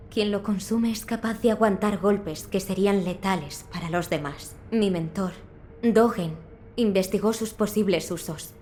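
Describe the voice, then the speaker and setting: A woman's voice: medium pitch, warm tone, a little hiss or hum, close to an everyday voice. A woman playing a composed, dutiful physician in a video game, formal and courteous, with a Castilian Spanish accent. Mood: inquiring, serious. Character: composed, dutiful female physician in feudal Japan.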